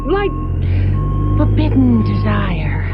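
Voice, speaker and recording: A woman's voice: big pitch swings, slow, over loud music. A woman acting in an old-time radio drama as a prodding, money-minded wife with a coaxing, needling manner. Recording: muffled old radio broadcast under loud vehicle rumble.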